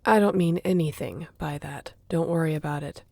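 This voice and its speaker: A woman's voice: low voice, normal speed, vocal fry, a little mellow, dry recording. A woman playing a sassy, competitive young shifter in an audio roleplay, talking in a casual, teasing way. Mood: casual explaining. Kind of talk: audio roleplay acting, addressing the listener.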